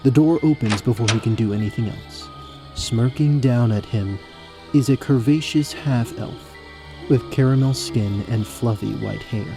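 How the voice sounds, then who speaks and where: A man's voice: mid-range voice, a little slow, music in the background. A man delivering scripted narration for a fantasy audio drama as its storyteller.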